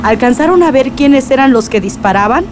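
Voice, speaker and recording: Woman acting an anxious, high-voiced Mexican village mother. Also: animated, a little fast, background noise. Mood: indignant. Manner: urgent, questioning. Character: anxious, determined village mother seeking her father. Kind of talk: radio drama acting.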